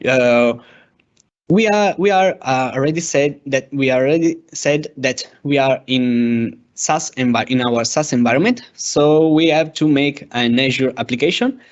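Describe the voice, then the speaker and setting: Man, dull lo-fi recording, slow pace, hesitant, step-by-step tutorial narration. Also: medium pitch. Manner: hesitant, halting delivery. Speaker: software engineer presenting a tutorial. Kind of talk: step-by-step software demo narration. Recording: dull, lo-fi microphone sound.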